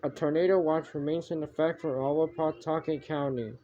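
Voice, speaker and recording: A man improvising homemade mock emergency broadcast announcements, haltingly, often repeating and correcting himself. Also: on the high side, fairly even intonation, mellow. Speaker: amateur narrator of a homemade emergency alert scenario. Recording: muffled homemade recording with background noise.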